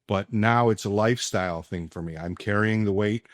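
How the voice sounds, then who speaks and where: A man hosting a casual sports podcast, speaking emphatically with deliberate pauses. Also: on the low side, dry recording, studio quality. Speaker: podcast host. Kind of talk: casual sports podcast talk and personal ad read.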